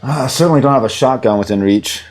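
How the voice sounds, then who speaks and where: A man's voice: mid-range voice, fast. A man teaching audio production in a casual, rambling way from a home studio, with many fillers. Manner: rambling, relaxed, lots of fillers. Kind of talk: casual recorded tutorial.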